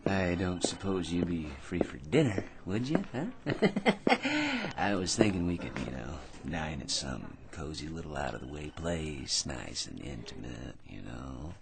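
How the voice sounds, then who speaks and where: A man's voice: rumbling low, lively intonation, unhurried, vocal fry, noisy background, full character voice. An actor playing a boastful, chattering office crank in a radio drama, self-important and prone to giggling at his own remarks. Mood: oily, flirtatious.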